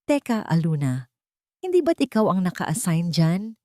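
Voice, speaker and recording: A smooth, scheming young heir in a Filipino audio drama, played by a woman narrator. He talks with false concern and a Filipino accent, in a dry recording. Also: fairly low pitch, big pitch swings, moderate pace, warm tone, dry recording. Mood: feigned concern. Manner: falsely solicitous. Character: smooth, scheming male company heir feigning kindness.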